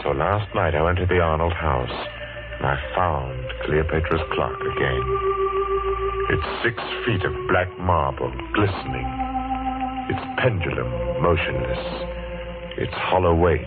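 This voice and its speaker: An actor playing a haunted, brooding man who narrates his dark family secret slowly and solemnly in an old radio suspense drama. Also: extremely low pitch. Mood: brooding, ominous. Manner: slow, solemn, dramatic delivery. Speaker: narrator and lead character. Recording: vintage radio recording, dull, music behind.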